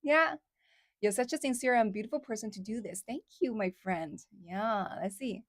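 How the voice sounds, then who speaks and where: Woman host, native Chinese speaker with a Midwest-leaning American accent, higher voice, casual livestream chatter. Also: normal speed, no echo, studio quality. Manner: chatty, many fillers like "like" and "somehow", laughs often. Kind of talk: casual livestream chat with viewers.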